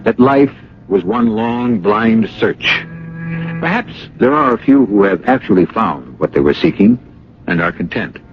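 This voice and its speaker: A man hosting an old radio mystery drama as a grave, philosophical narrator, with a measured, theatrical delivery. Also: fairly low pitch, lively intonation. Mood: grave, musing. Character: grave, philosophical male mystery show host. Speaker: radio drama host and announcer. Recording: dull old radio broadcast, music behind.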